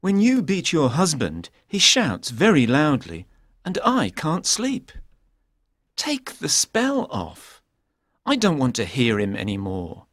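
A man voicing a cunning storybook king who poses as a weary lover, complaining in drawn-out phrases. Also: a little high, wildly swooping pitch, a bit bright, no echo, clean studio sound, voice pushed up, full character voice. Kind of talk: storybook character voice.

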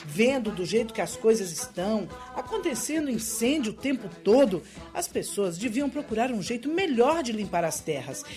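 A woman's voice: on the high side, very animated, moderate pace, hardly any pauses, darkish tone, soft background music. An actress playing an outspoken young Brazilian village woman in a radio soap, chatty and emphatic. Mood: indignant, emphatic. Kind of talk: radio soap opera acting.

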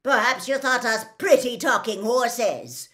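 A woman's voice: mid-range voice, expressive, takes it slowly, pushed and bright, pitched above the performer's own voice. A woman voicing a fierce, proud, angry male centaur in an audiobook, with bellowing, haughty delivery. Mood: proud outrage. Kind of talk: dramatic audiobook character voice.

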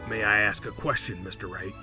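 A man's voice: lowish voice, steady tone, a little fast, over music, lightly acted. A man playing a guarded, contemptuous murder suspect in an audio drama, speaking formally and haughtily. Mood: needling, haughty.

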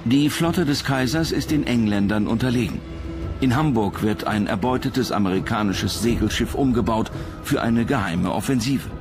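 A man narrates a documentary from a script. His voice sits at an ordinary male pitch, and music plays clearly behind him. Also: moderate pace.

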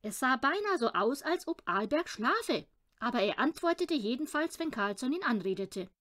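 A woman reading a children's audiobook with expressive storytelling narration. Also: sits high, moderate pace. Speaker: audiobook reader.

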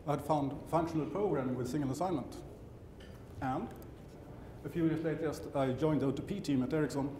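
Middle-aged Swedish-accented man, conference speaker, dry and halting, recorded in an echoey hall over a steady hum. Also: on the high side. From Sweden. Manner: halting, self-correcting, dry asides. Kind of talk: technical conference talk. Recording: roomy hall sound with background hum.

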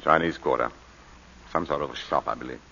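An actor playing a serious, guarded male lawyer, speaking in a measured and earnest way in 1940s radio acting style. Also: extremely low pitch, creaky, sharp tone, husky, full character voice. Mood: brisk. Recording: dull old radio broadcast, faint hiss.

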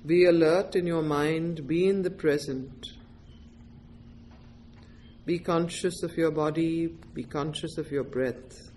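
A woman leading a guided meditation as a teacher, giving gentle, deliberate instructions in short phrases with pauses. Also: sits low, steady tone, slight background noise.

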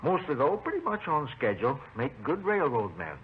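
An actor playing a garrulous, folksy, meticulous retired railroad engineer who carves wood, in a 1950s radio drama. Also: male voice, medium pitch, huge pitch swings, normal speed. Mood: chatty, precise. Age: older. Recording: noisy old radio recording, narrow phone-like band.

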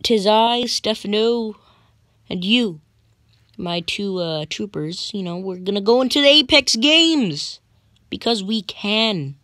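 A woman voicing a bossy, scornful male squad leader in improvised comedic game lines, scolding haltingly and repeating herself. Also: medium pitch, lively intonation, a bit bright, no room sound, studio quality. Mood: haughty, exasperated. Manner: haughty, halting, repetitive scolding.

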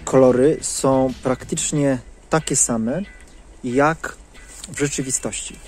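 A man's voice: mid-range voice, fairly even intonation, slow pace, darkish tone, soft background music. A man in his thirties giving a casual product review to camera, recorded outdoors on a lapel mic. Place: outdoors in leafy woodland. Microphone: clip-on lapel mic.

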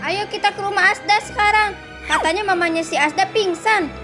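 A concerned cartoon mother, played by a woman, brisk and very high in pitch. Music sits clearly behind her lines. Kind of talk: animated cartoon dialogue.

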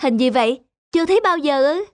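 A woman voicing a sweet, adoring young rabbit wife in an animated fairy tale, gushing and affectionate. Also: high-pitched, fast, dry recording, studio quality. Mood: delighted. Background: music.

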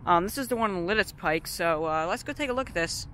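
A man's voice: sits high, animated, quick pace, sharp tone. A man with a Pennsylvania accent filming himself outdoors at a parking lot, talking casually and hesitantly with lots of ums while exploring a closed store. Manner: hesitant, many ums and uhs, hedging. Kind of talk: casual walking commentary. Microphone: handheld camera or phone. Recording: outdoor street noise behind. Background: traffic and outdoor noise.

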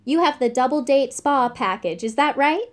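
A woman's voice: high-pitched, animated, moderate pace. A woman playing a polite, chirpy spa receptionist in an audio drama. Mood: welcoming.

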